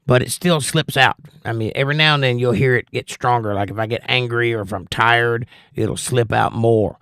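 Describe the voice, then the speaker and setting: A middle-aged man with a Southern Georgia accent he has smoothed down through public speaking. He sounds strained, but he chats in a relaxed way at a medium pitch, reading comments aloud and answering them. The sound is close and dry, with no room echo. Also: normal speed. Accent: softened Southern US (Georgia). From Georgia, USA. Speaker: livestream host reading chat. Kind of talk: casual livestream chat.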